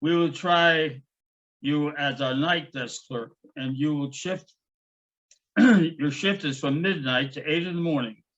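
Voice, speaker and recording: A man's voice: a little high, takes it slowly, fairly bright tone. A man voicing a stern German woman hotel manager who talks in a clipped, vaguely menacing way. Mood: cold, clipped. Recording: dull lo-fi recording.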